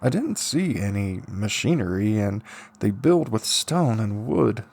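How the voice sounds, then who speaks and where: A man's voice: fairly low pitch, very animated, a little slow, vocal fry, a little mellow, full character voice. A man voicing a wry seventeen-year-old shut-in boy, musing to himself in an audiobook reading.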